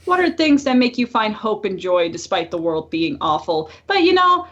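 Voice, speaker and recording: A woman's voice: mid-range voice, sing-song, moderate pace, fairly bright tone, muffled recording. A woman co-hosting a casual podcast over an online call, with a blunt, sweary way of talking. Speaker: podcast co-host.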